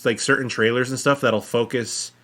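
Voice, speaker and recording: Male podcast co-host, bright and forward tone, earnest, halting, conversational delivery. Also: medium pitch, fairly even intonation. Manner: earnest, halting, repeats himself. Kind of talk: casual film discussion.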